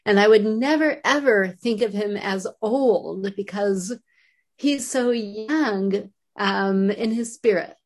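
A friendly sixty-year-old woman co-hosts a casual conversation, asking curious follow-up questions. Her voice sits at an ordinary middle pitch. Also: takes it slowly, a little mellow. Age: 60s. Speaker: co-host. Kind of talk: casual chat.

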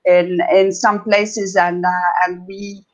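A woman from Estonia with an Estonian accent, answering as an interview guest in a halting, conversational way with frequent pauses and repeated words. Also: fairly low pitch, little pitch movement, highs cut off.

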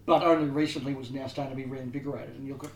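A man's voice: medium pitch, steady tone, very fast. A man hosting a casual podcast, leading the talk and turning to each co-host in turn. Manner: leads the talk, asks each guest in turn. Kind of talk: casual chat. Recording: echoey room, faint background noise.

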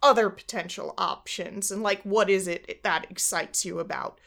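A woman's voice: medium pitch, moderate pace, gravelly, a bit bright. Woman, casual podcast co-host with a chatty manner and a mid-range voice. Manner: chatty, quotes imagined players, many fillers. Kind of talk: casual podcast chat about tabletop games.

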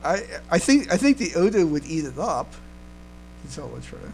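A man's voice: fairly high pitch, big pitch swings, fairly quick, vocal fry, a little mellow, a little hiss or hum, rough recording. A man hosting a casual fan podcast, chatting hesitantly and often stammering and repeating his words. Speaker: podcast host.

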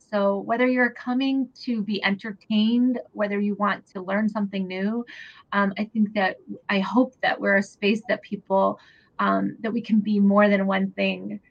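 A woman from New Hampshire, a theater director, answering interview questions earnestly with many ums and restarts. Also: medium pitch. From New Hampshire, USA. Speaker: guest, theater executive director. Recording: dull lo-fi radio audio.

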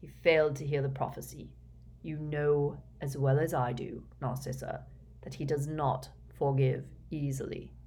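Storybook role: controlled, cold male spy , performed by a woman narrator, measured and flat, with slow, quiet authority. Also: low voice. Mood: quiet, deliberate.

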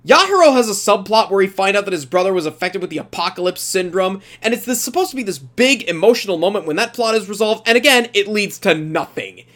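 A man's voice: high voice, expressive, quick pace, hardly any pauses, a bit bright. A man hosting a casual podcast review, telling things emphatically and putting on mock quotes as he gives his opinions. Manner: emphatic, mock-quoting storyteller. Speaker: podcast host leading an anime review.

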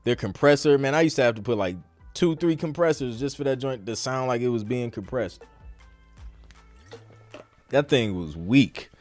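A man's voice: medium pitch, normal speed, pauses often, a little mellow, soft background music. A man hosting a podcast, talking in an informal, slangy, conversational way as in a live Q&A. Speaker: podcast host. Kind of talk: casual live Q&A chat.

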